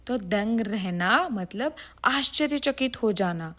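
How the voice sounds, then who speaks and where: Slow, patient woman teacher. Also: medium pitch, lively intonation, a bit bright. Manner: slow, repeats sentences, asks pupils questions. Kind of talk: explaining Hindi idioms to children.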